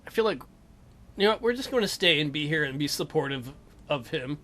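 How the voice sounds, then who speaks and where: A man's voice: fairly high pitch, animated, a little fast, a bit bright. A man hosting a let's play, giving playful, irreverent, joking commentary on a game.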